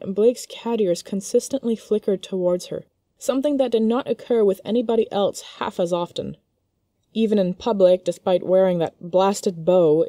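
Mellow-voiced woman narrating a story. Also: mid-range voice, dry recording, clean studio sound. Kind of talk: scripted narration of a fan fiction story.

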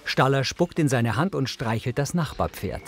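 A man reading scripted audio description of TV scenes in the present tense, measured and matter-of-fact. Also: medium pitch, lively intonation, background noise.

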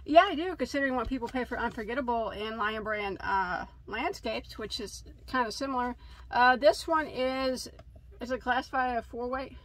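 Enthusiastic crochet host, a woman in her thirties from Illinois, fairly high voice, chatty and self-mocking. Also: moderate pace, low-quality recording. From Illinois, USA. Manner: chatty, enthusiastic, laughs at herself. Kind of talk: casual yarn haul chat. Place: craft room with yarn shelves, indoors.

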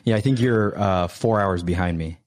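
A man's voice: low voice, normal speed, darkish tone, no echo, studio quality. A man in his thirties chatting as a podcast guest, easygoing, laughing often and rambling with restarts. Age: 30s. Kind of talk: casual interview chat.

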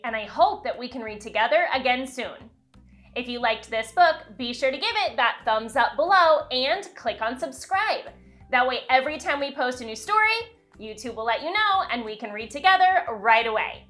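A woman in her thirties, a children's host reading a picture book aloud with expressive storytelling delivery. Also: on the high side. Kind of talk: storybook read-aloud with asides to young viewers.

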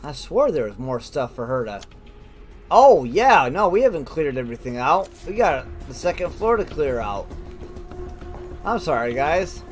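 Higher-voiced man casually narrating his gameplay. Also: big pitch swings, a little slow, soft background music. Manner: informal, reactive, thinks aloud. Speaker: let's play host.

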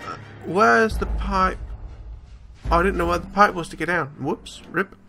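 A man's voice: high voice, unhurried, vocal fry, bright, forceful tone, faint music behind. A man giving casual, improvised video game commentary, jokey and sweary, with bits of playful baby-talk. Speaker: let's play host.

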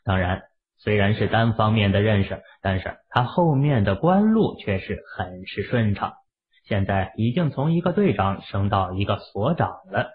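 A man with a lowish voice narrates a story in a dramatic audiobook style. The recording is narrow and phone-like. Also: sing-song, normal speed. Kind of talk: scripted dramatic narration of a novel.

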